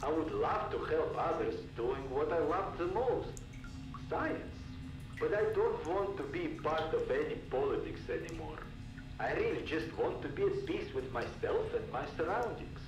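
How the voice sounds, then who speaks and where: A man's voice: a little high, sing-song, normal speed. An actor playing a disillusioned, distrustful male scientist who longs for peace, earnest and brooding, in a video game audio log. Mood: wistful. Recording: roomy, distant, noisy cassette tape recording.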